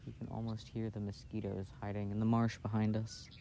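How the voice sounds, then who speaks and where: A man doing a casual, intimate ASMR boyfriend roleplay in a soft-spoken, playful way, recorded outdoors. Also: lowish voice, monotone, fairly quick, vocal fry. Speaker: audio roleplay performer playing a boyfriend. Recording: outdoor recording with background noise. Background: outdoor ambience and noise.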